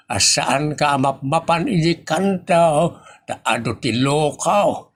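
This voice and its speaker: A performer plays a moralizing villager with an Ilocano accent. The delivery is very animated, swinging up and down while nagging and admonishing, as in a comedy audio drama. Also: mid-range voice, husky, exaggerated voice.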